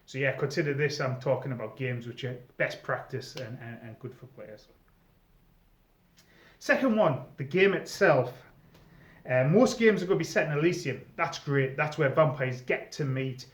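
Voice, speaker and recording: A man's voice: mid-range voice, moderate pace. A British man giving informal, chatty advice as a video host, explaining things step by step and checking in with the listener. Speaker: video host, experienced live role-player. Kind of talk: informal advice vlog talking to camera. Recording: roomy echo, slightly dull sound.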